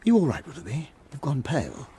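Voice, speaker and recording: An actor playing a fussy, loyal gentleman in a period radio drama, with formal and proper phrasing and an easily flustered manner. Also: medium pitch, wildly swooping pitch, rapid-fire, a little hiss or hum. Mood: weary, concerned. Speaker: narrator and character.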